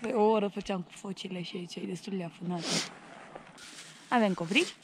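A woman's voice: medium pitch, background noise. A young woman vlogging in casual, joking chat with a friend on a snowy mountain, teasing, laughing often and swearing. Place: snowy mountain meadow outdoors. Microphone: action camera held at arm's length.

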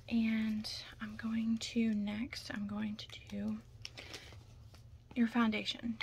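A young woman doing an ASMR roleplay in whispers and soft talk, chatting casually and reassuringly close to the mic. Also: fairly high pitch, a little hiss or hum. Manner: casual, chatty, reassuring makeup artist patter.

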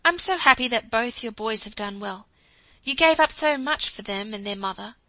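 A woman's voice: on the high side, lively intonation, moderate pace, pushed and bright, dry recording, rough recording. A woman voicing an affectionate middle-aged mother who talks in an eager, gushing way.

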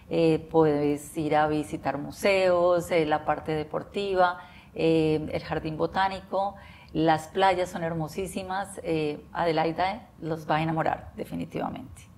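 A woman in her forties from Colombia with a Colombian accent, seated indoors and talking to camera with enthusiasm, often pausing with "eh". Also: lowish voice, darkish tone, slight background noise. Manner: enthusiastic, frequent hesitations with eh. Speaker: interviewee. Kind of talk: talking to camera about life abroad. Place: bright office room by large windows, indoors.

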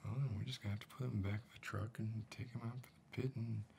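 Man acting soft-spoken, menacing backwoods tough guy, noisy ambience. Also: on the low side, steady tone, racing. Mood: stubborn, defensive. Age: middle-aged. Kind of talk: ASMR roleplay, soft-spoken. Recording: clear background ambient noise.

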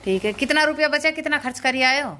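A woman's voice: high-pitched, quick pace, noisy background. A middle-aged woman with a Haryanvi accent chatting casually and giving instructions in a home vlog. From rural Haryana, India. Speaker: family vlogger, mother. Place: village house and open courtyard. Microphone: handheld phone.

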